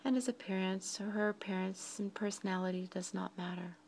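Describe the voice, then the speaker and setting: A woman leads a guided meditation in a soft, breathy voice, speaking slowly and deliberately in short phrases with frequent pauses. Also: medium pitch, steady tone, slight background noise, rough recording.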